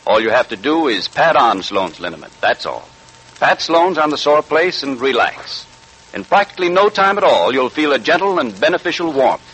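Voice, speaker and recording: A man's voice: fairly high pitch, a bit bright, breathy edge, low-quality recording. A man reading a scripted advertisement as an announcer in 1940s radio style.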